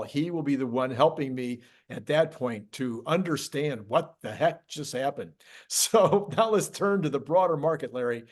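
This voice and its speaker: A male show host with a slightly high-pitched voice talks casually about the markets. His manner is jovial and easygoing, as in a relaxed chat. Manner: jovial, laughs often.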